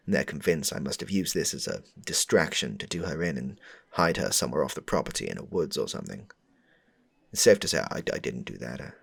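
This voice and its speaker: A man playing a smitten, rambling suitor with a shady air in a comedic audio roleplay, stammering and overdramatic as he speaks to the listener. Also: full character voice. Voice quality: low, gravelly, husky. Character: smitten, rambling, darkly suspicious man meeting his crush.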